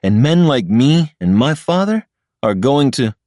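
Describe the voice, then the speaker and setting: A man's voice: mid-range voice, very animated, a little slow, dark tone, no room sound, studio quality, voice pushed up. A man voicing a smug, pompous young man who sneers and blusters at his rival. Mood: sneering. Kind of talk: audiobook character voice.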